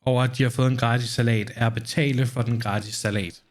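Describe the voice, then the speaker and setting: A man's voice: mid-range voice, fairly even intonation, fast talker. A man with a Danish accent giving casual live commentary as a game streamer.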